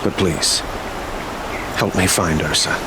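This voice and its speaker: A gracious, solemn monarch in a fantasy game. His slightly low voice carries formal, regal sincerity. Ambient noise is clearly audible behind him. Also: steady tone, takes it slowly. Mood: earnest appeal. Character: dignified, gracious young sun king, solemn and sincere. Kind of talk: video game cutscene acting.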